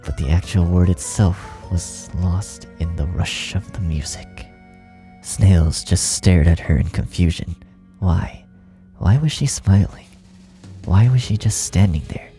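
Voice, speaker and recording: Very dark, gravelly low-voiced man narrating. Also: unhurried, soft background music. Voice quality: gravelly, often dropping to breathy near-whisper. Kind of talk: scripted dramatic narration of horror fan fiction.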